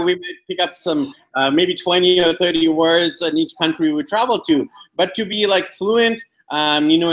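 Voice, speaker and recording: A man's voice: sits high, fairly bright tone. A man hosting a casual interview over a video call, chatty with many fillers.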